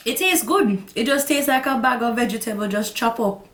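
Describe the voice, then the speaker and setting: A woman's voice: on the high side, normal speed, a bit bright. A woman taste-testing food and speaking candidly in casual chat, often trailing off and restarting. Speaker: taste tester.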